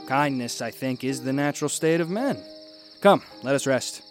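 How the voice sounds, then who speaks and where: An actor playing a kindly, unflappable gentleman traveller, polite and eloquent, in a radio drama. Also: mid-range voice, lively intonation, moderate pace, soft background music, lightly acted. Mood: gentle, persuasive.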